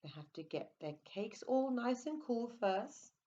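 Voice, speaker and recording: Slow-paced woman reading to preschoolers. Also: fairly high pitch, highs cut off. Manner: expressive, coaxing, uses tag questions. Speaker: storyteller for preschool children. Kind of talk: picture-book read-aloud with asides to young listeners.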